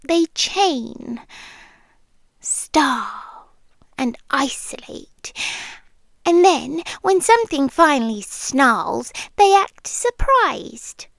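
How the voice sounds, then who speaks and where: A British woman playing a possessive, sweetly menacing yandere girlfriend in an intimate ASMR roleplay, teasing and often slipping into breathy whispers. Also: sits high, no room sound, exaggerated voice. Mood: quietly bitter.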